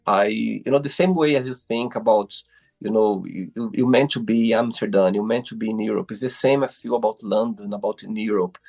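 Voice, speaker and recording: Gravelly-voiced Brazilian man hosting. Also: fairly low pitch, brisk, a little mellow. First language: Portuguese. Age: 30s. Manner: effusive, repeats his praise. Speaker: host. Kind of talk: casual interview chat.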